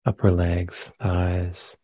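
Male meditation guide, gentle and very slow, dark soft-edged tone, close dry sound. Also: sits low, little pitch movement, breathy edge, no echo. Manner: gentle, unhurried, with long pauses. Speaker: meditation teacher. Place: meditation center.

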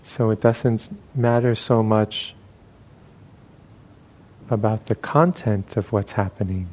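A man leading a guided meditation as a teacher, speaking gently and unhurriedly with long pauses. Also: fairly low pitch, steady tone.

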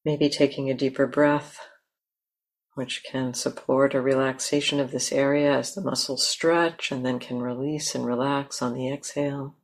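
A woman leading a guided meditation in a gentle, unhurried manner with long pauses. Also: very deep voice, fairly even intonation. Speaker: meditation teacher.

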